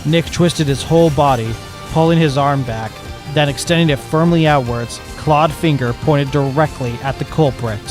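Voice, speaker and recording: A man narrating a fan fiction chapter aloud in steady storytelling style. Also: a little high, moderate pace, soft background music.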